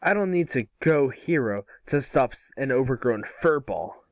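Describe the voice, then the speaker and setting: A man voicing a cocky, excitable young boy hero from a cartoon, brash in his delivery. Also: mid-range voice, moderate pace, no room sound, natural voice.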